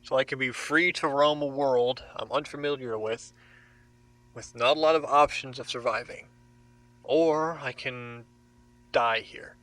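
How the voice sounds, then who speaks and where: A man playing a bewildered, resigned captive being interrogated in a horror audio drama, speaking haltingly. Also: medium pitch, fairly even intonation, fairly bright tone, lightly acted, poor audio. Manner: halting, hesitant.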